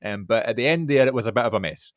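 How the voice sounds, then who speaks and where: A young man talks quickly and cheerfully as a podcast co-host. His voice is mid-pitched and sits close and dry on the mic, with no room sound around it. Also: no echo. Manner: quick, chatty and upbeat. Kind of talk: casual chat.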